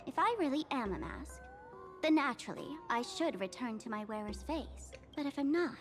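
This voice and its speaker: A woman's voice: sits high, expressive, moderate pace, faint music behind. A woman playing a playful, enigmatic young trickster in an anime-style game, teasing and theatrical. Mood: musing.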